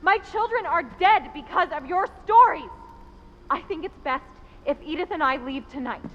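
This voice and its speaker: A woman's voice: sits high, animated, moderate pace, fairly bright tone, long reverb tail, dull audio, slight background noise. An actress playing a strained, protective middle-aged mother, speaking tensely and firmly in dramatic video game dialogue. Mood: angry, firm. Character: strained, protective mother at odds with her grandmother.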